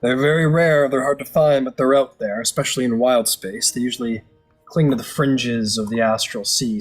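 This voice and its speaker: A man's voice: mid-range voice, lightly acted. Man playing a casual, businesslike mercenary boss. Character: easygoing male mercenary boss making a deal. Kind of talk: improvised tabletop roleplay. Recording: music playing under the voice.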